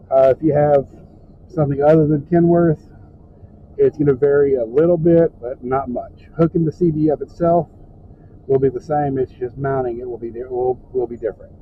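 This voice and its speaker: A plain-spoken man with folksy phrasing gives an informal, step-by-step hands-on tutorial from inside a truck cab. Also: mid-range voice. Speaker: truck driver and trainer giving a tutorial. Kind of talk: informal hands-on how-to narration. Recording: tinny phone-like audio with background noise.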